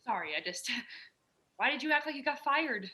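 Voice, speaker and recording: A young woman playing an excitable, teasing roommate in her early twenties in a script reading. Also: sits high, moderate pace. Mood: excited. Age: young adult.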